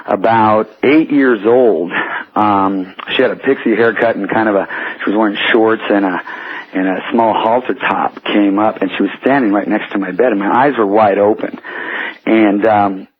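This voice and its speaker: Male American radio caller, hesitant storyteller, rough-edged voice, tinny telephone sound. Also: fairly low pitch, steady tone, moderate pace. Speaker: phone-in caller. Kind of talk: personal storytelling on a radio call. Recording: tinny, muddy telephone line.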